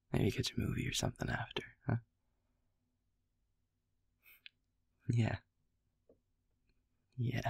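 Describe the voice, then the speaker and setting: A man plays an easygoing, affectionate young boyfriend in an intimate audio roleplay. His low voice is thick with gravel and very breathy, recorded close and dry. He talks softly and haltingly. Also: no echo, heavily acted. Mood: tender, upbeat.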